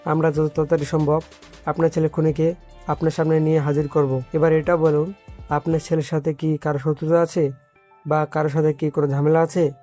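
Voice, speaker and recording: A man's voice: a little high, little pitch movement, brisk, warm tone, faint music behind, natural voice. A man playing a firm, no-nonsense police officer in a dramatized audio story. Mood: firm, reassuring.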